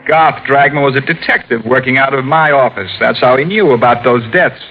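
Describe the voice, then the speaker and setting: A stern, methodical district attorney, voiced by a man in an old radio drama. He lays out his case in a crisp, measured way. The sound is dull and muffled, like a vintage broadcast. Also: medium pitch, close to an everyday voice. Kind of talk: 1940s radio acting.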